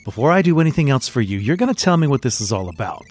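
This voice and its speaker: A sardonic veteran agent. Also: male voice, mid-range voice, big pitch swings, very fast, a little mellow. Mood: stubborn. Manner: sardonic, dry, blunt. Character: gruff veteran male agent, world-weary. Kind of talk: audio drama acting.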